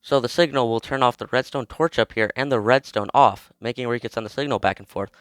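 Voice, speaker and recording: A young man narrates a step-by-step tutorial while nursing a cold, talking in a loose, informal way. His voice stays smooth and resonant at a middling pitch. The sound is dry and close, with faint music underneath. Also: quick pace, a bit bright, no echo. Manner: chatty, informal, explains step by step. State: has a cold. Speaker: video creator explaining a game build. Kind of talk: casual tutorial walkthrough.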